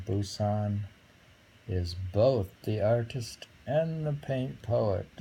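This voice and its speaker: A man reading haiku aloud casually, musing and halting, murmuring to himself between poems. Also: fairly low pitch, creaky, mellow, a little hiss or hum, poor audio. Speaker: reader of a haiku book. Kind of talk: casual poetry read-aloud with musing commentary.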